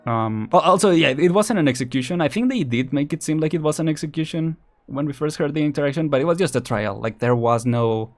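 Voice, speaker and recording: A man's voice: on the high side, quick pace, few pauses. Hesitant, rambling male streamer over music. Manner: hesitant, rambling, often repeats words and restarts. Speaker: streamer, vtuber host. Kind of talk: casual livestream commentary, chatting with viewers.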